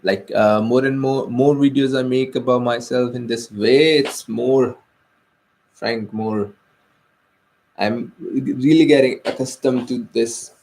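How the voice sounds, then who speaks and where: A man's voice: medium pitch, fairly even intonation, slow, darkish tone, poor audio. A young man with an Indian accent, a native Hindi speaker, talking hesitantly in a personal vlog with many fillers and restarts. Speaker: vlogger.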